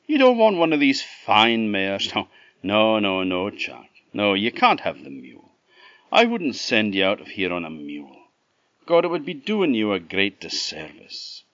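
The Green Man of Knowledge, a proud and stubborn magical being, as a male storyteller voices him. He speaks in a firm, insistent way, with a low voice that moves a lot. The sound is dry and slightly dull. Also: huge pitch swings, a little slow, fairly bright tone, dry recording, heavily acted.

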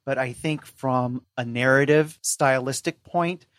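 A man's voice: medium pitch, unhurried, fairly bright tone, no echo, studio quality. A man speaking as a podcast guest, discussing books casually with articulate, considered phrasing.

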